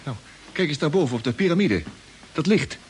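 A man's voice: fairly high pitch, a little fast, a little hiss or hum, close to an everyday voice. A man playing an eager young crewman. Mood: excited. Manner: eager, excitable, lively. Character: young excitable crewman Jimmy. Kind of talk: 1950s radio drama acting.

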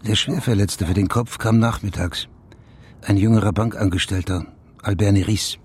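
A man acting a dry, sceptical high police official in a German radio crime drama. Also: fairly even intonation, normal speed, a little hiss or hum, natural voice. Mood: sober, businesslike. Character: sardonic high police official on a secret mission.

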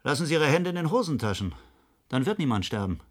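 Stern husky project leader, animated. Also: male voice, mid-range voice, quick pace. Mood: stern warning. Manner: commanding, indignant. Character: male project leader, exasperated, stern, under pressure. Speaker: actor in a radio play. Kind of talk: German radio drama acting.

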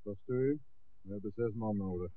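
A man's voice: lowish voice, moderate pace, lightly acted. An actor playing a scheming, impatient male gang leader in a 1960s radio drama, with a curt, commanding manner. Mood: impatient, bossy. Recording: muffled, phone-like old radio recording, clear hiss.

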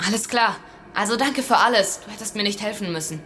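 A woman's voice: mid-range voice, fast, sharp tone, a little hiss or hum, natural voice. A performer, heard as female, playing a wary, frightened teenage boy on the run in a German radio drama.

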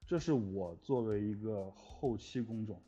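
A man's voice: on the low side, measured pace, dark tone. A man talking casually and reflectively as a composer in an interview, earnest, with somewhat hesitant phrasing. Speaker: music composer for audio dramas. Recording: dull lo-fi audio with music behind. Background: music.

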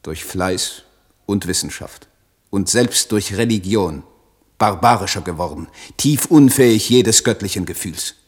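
A brooding young poet declaims in a radio play with a low voice. He is idealistic and embittered, solemn and rhetorical in every phrase. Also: husky, full character voice. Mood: bitter indignation.

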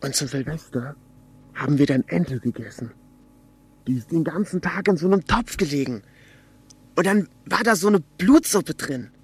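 An actor playing a lonely, eager teenage boy who confides haltingly in his home tape recorder, in a radio drama. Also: medium pitch, lively intonation, husky. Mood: playful, curious. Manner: halting, naive, confiding, testing the microphone. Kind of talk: home tape diary in a fictional radio feature.

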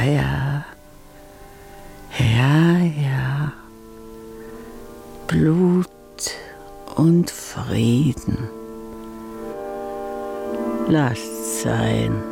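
An actress playing a shattered, resigned mother, halting and half-whispering, in a 1950s German radio play. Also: extremely low pitch, creaky, a little mellow, heavily acted.

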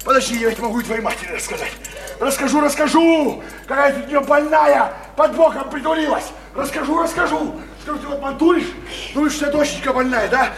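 In a roomy, faintly noisy recording, a coarse working-class bully with a very high-pitched voice. He jeers in slang and hammers at the same phrases, a domineering married lover in a radio drama. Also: brisk, few pauses, a bit bright. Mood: threatening, angry. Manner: coarse slangy speech, jeering, repeats phrases insistently. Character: crude, bullying married working-class man, wheedling then threatening. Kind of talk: Russian radio play acting.

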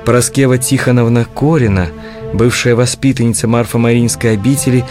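A man narrating a historical audio play from a script in a solemn, measured manner. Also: mid-range voice, fairly even intonation, music in the background.

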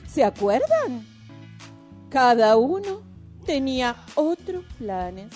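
A woman's voice: high-pitched, sing-song, takes it slowly, a little mellow, soft background music, exaggerated voice. A woman playing a sly, gleeful older Argentine mother in a radio drama, with a theatrical, mock-sweet manner. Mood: wry reproach. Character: sly, gleeful older mother reading her mocking farewell letter.

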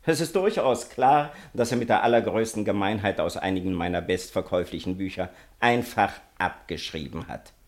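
An actor playing an indignant, pompous literary gentleman in a radio drama, accusing with sneering, grandiloquent phrasing. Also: fairly low pitch, moderate pace, hardly any pauses, close to an everyday voice. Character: indignant, pompous male man of letters accusing a rival. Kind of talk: theatrical radio drama acting.

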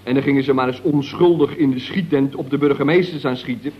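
A man's voice: mid-range voice, close to an everyday voice. An actor playing a cheeky young male employee telling slangy tall tales in a radio comedy. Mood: cheeky, amused. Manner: slangy, drawn-out storytelling with tag words. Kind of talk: radio comedy serial acting. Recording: dull, noisy old radio recording.

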